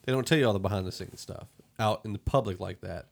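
Low-pitched man hosting a casual film chat. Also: quick pace, vocal fry. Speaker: podcast host.

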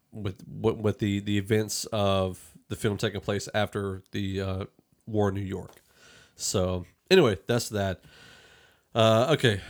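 A man hosting a podcast, talking through a film review in a rambling, conversational way. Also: on the low side. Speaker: podcast host. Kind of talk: casual movie review discussion.

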